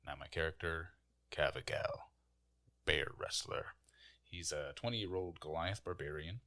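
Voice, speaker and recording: Super deep voiced guy in his 20s, bright and forceful, kinda theatrical, playing tabletop rpg. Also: unhurried. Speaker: player on a tabletop game podcast, aspiring voice actor.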